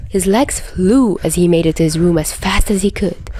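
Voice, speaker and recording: Mellow, lowish-voiced woman narrator. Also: normal speed, slight background noise. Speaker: podfic narrator. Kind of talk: scripted story narration.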